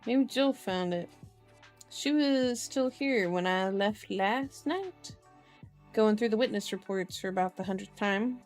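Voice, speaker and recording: A woman's voice: mid-range voice, a little slow, soft background music, close to an everyday voice. Woman reading as a good-natured senior male cop. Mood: casual. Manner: good-naturedly scolding, folksy. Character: good-natured, gruff 38-year-old male senior police officer.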